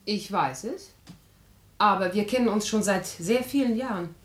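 A woman's voice: mid-range voice, normal speed, roomy sound, close to an everyday voice. An actress playing a frank, composed, practical woman in a radio play, matter-of-fact and articulate. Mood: composed, candid. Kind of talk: classic German radio play acting.

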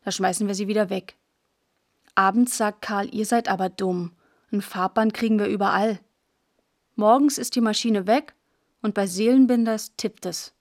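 A woman's voice: mid-range voice, steady tone, normal speed, no echo, clean studio sound, natural voice. An actress playing a postwar schoolgirl who tells her story in plain, clipped first-person radio drama narration. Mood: matter-of-fact. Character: matter-of-fact postwar schoolgirl telling her scavenging adventures.

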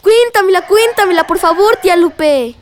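A woman plays an eager little Mexican niece in a radio drama. Her voice is very high, and she speaks with candid, inquisitive curiosity. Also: lively intonation, normal speed, slight background noise.

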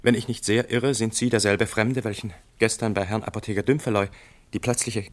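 An actor in a German radio play, playing a stiff, respectable councillor who speaks with formal politeness and is quick to take offence. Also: male voice, on the low side, steady tone, close to an everyday voice. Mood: formal, puzzled. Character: stiff, indignant, respectable legation councillor, male.

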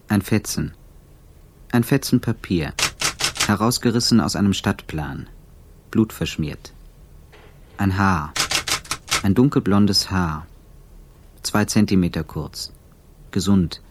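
A detached evidence reciter in a radio play. The low voice moves very slowly, in clipped clinical fragments, with clear noise and typewriter clicks around it. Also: male voice. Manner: terse, staccato fragments, detached. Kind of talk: radio drama, fragmentary forensic list.

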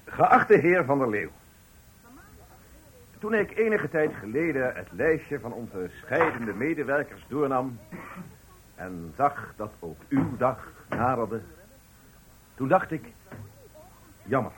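An actor in a radio play playing a calculating, condescending company director, speaking formally with a husky voice. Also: male voice, mid-range voice, sing-song, slow pace, long pauses, a bit bright, heavily acted, rough recording. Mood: solemn speechmaking. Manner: formal, smooth-talking, patronising. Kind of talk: Dutch radio play acting.

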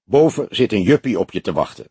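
A man's voice: lowish voice, big pitch swings, brisk, dark tone, slightly rough, no echo, heavily acted. A man voicing a droll police desk officer in an audiobook, explaining things with mock pomposity. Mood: droll, explanatory.

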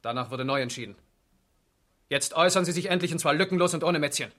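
A male actor plays a police interrogator in a seventies East German radio thriller. His voice sits fairly high for a man. He speaks in a clipped, authoritative way with dry sarcasm. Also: quick pace, fairly bright tone, lightly acted. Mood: stern. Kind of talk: 1970s East German radio crime drama acting.

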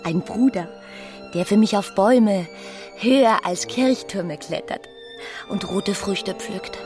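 A woman playing a dreamy, devout teenage girl hungry for romance in a literary radio play, speaking in a hushed, intimate way that slips into whispers. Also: medium pitch, takes it slowly, lightly acted. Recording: music behind the voice. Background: music.

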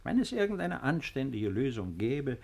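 An actor in his fifties playing a reserved, melancholy baron, quiet and understated, in a 1950s German radio play. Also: mid-range voice, very animated, normal speed, vocal fry. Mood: reassuring. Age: 50s.

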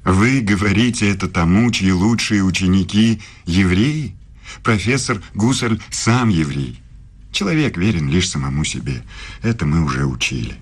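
An actor playing a self-assured, evasive professor and secret lover in a radio play, speaking formally and pedantically. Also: male voice, sits low, animated, normal speed, husky. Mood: defensive, pedantic.

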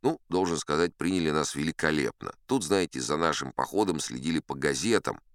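A man's voice: rumbling low, expressive, brisk, smooth, no echo, studio quality. An actor playing a boastful old sea captain, telling his tall tales in a folksy, self-assured, conversational way. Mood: self-satisfied. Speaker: audiobook performer narrating in character. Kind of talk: dramatic first-person storytelling.

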